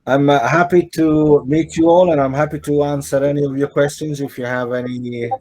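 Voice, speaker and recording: A man with an Italian accent talks casually on a video call, answering fan questions and pausing often as he searches for words. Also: a little high, flat delivery, a little mellow, dry recording, poor audio. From Italy. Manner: halting, searches for words in a second language. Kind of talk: casual online Q&A.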